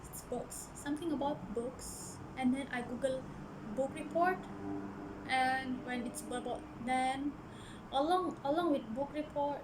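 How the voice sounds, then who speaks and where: Young malaysian woman vlogging, a bit high voice, earnest, keeps restarting, noisy bg. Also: unhurried. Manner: earnest, hesitant with frequent restarts. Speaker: book vlogger. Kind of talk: casual personal storytelling. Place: church office.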